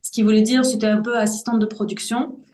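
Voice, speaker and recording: A woman's voice: a little high, steady tone, fast, warm tone. Forties woman, podcast guest, thoughtful and sincere, recorded in a reverberant room. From Belgium. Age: 40s. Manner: earnest, reflective, searching for words. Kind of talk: personal testimony in a podcast interview. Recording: roomy echo.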